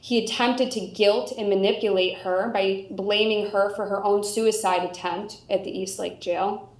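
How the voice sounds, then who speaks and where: American lady prosecutor talking in court, measured and formal, echoey room, normal pitch. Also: steady tone, a little mellow. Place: indoor courtroom.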